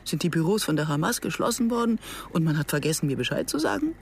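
A woman's voice: low-pitched, big pitch swings, fast talker, slight background noise. Sardonic, insistent woman in radio drama. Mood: sarcastic, angry. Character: passionate Israeli woman filmmaker, sardonic, argumentative, narrating her story. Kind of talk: German radio drama narration and dialogue.

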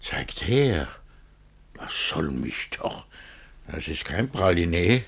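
A jealous older gentleman in a verse radio comedy. His voice is rather low and husky, with big swings of melody. He feigns friendliness with sly, theatrical delivery. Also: sing-song, slow, exaggerated voice. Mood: sly sympathy. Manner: sly, theatrical, echoing the other's words.

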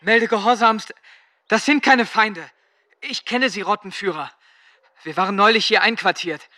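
A teenage boy soldier in a radio drama. The voice is high and very bright, recorded dry, earnest and dutiful with a pleading edge. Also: a little slow, dry recording. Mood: earnest, respectful. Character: earnest seventeen-year-old boy soldier, decent and protesting. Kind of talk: German radio drama acting.

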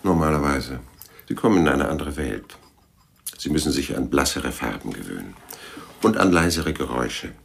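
An actor playing a gruff, hard-boiled private detective in a radio crime drama, dry, terse and sardonic. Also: male voice, very deep voice, expressive, normal speed, full character voice. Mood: dry, world-weary. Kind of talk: 1990s German radio crime drama acting.

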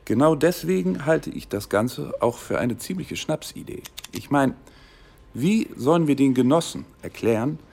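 A fussy finance minister in a political satire for radio. The mid-pitched voice crackles with fry. He talks dryly and sounds doubtful of everything, pedantic about details. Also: lively intonation, moderate pace, a little mellow. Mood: sceptical, worried. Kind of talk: satirical radio play acting.

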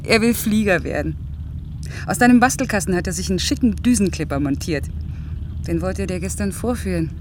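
An actress playing a lonely young single mother in a German radio play, gently reproachful and pleading with her lover. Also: mid-range voice, expressive, a little fast, noisy background, lightly acted. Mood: wistful, anxious.

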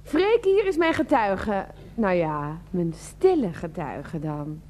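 A woman's voice: on the low side, sing-song, slow pace, warm tone, a little hiss or hum. An actress playing a weary, sardonic middle-aged wife and mother in a radio drama, with a dry, matter-of-fact manner. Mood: wry.

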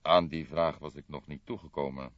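A man voicing a dry, deliberate older police detective in an audiobook, with a measured, formal, probing way of talking. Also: a bass voice, fairly even intonation. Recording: dull, roomy audiobook recording.

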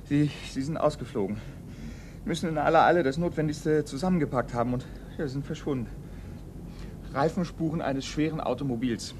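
A man acting a shaken, earnest young police assistant in a German radio crime play, speaking haltingly. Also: a little high, noisy background, lightly acted. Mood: reporting. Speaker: actor in radio crime drama.

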